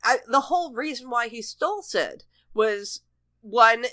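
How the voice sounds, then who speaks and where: Bright-voiced woman in casual, close-sounding chat. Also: fairly high pitch, expressive, a little slow, no echo. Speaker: podcast co-host. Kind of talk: casual chat, TV episode discussion.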